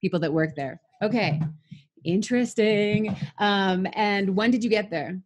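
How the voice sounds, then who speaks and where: Chatty woman in her thirties interviewing. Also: mid-range voice. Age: 30s. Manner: chatty, upbeat, quick follow-up questions. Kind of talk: casual interview.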